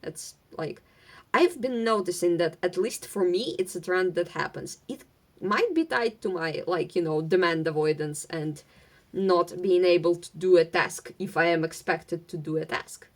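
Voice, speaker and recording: A young woman from Ukraine with a Ukrainian accent, chatting casually and rambling at home while sorting craft supplies, halting with many fillers and restarts and a stutter at times. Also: on the low side. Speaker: vlogger.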